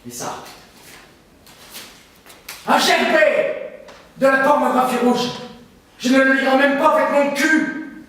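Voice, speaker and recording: A man's voice: very high-pitched, steady tone, takes it slowly, long pauses, fairly bright tone, full character voice. A man playing a domineering factory boss and husband, with a harsh, commanding stage delivery. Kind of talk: stage play rehearsal. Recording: echoey room, distant mic.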